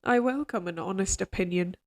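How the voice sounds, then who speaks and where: A woman playing a regal, possessive queen in an audio roleplay drama, speaking in formal, measured courtly phrases, tender yet controlling. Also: medium pitch, natural voice. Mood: tender, reassuring.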